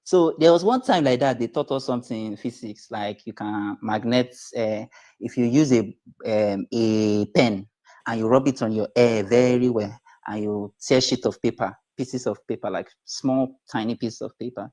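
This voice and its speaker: A man's voice: medium pitch, moderate pace, mellow. A young man with a Nigerian accent, calling into a live debate and making his case insistently in a conversational way. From Delta State, Nigeria. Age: young adult. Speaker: caller on a live-stream debate. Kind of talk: conversational debate, some Pidgin. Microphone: live-stream call.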